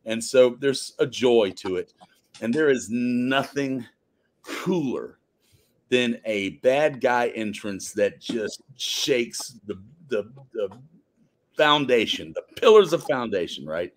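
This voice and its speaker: A man, an actor guesting on a podcast, who tells stories casually but emphatically, with dramatic pauses. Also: medium pitch, animated. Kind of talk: casual interview chat.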